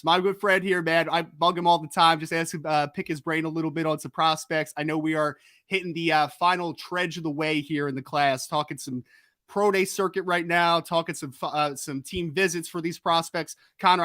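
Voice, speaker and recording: A man hosting a live-streamed sports podcast, talking in an energetic, chatty way with quick restarts. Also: on the high side, no echo, studio quality. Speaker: podcast host.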